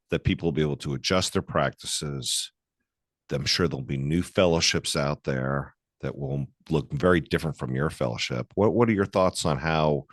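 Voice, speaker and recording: A man with an extremely deep voice hosts an interview, asking long, searching questions and sometimes restarting them. The sound is dry and close, with no room around it. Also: no echo. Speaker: podcast host, surgeon interviewer. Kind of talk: conversational podcast interview.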